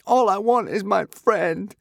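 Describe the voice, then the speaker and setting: A man's voice: high-pitched, measured pace, gravelly, no room sound, studio quality, voice pushed up. A man doing a tearful young Viking boy. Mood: sobbing. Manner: howling, tearful. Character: young boy Viking, small, hero in training.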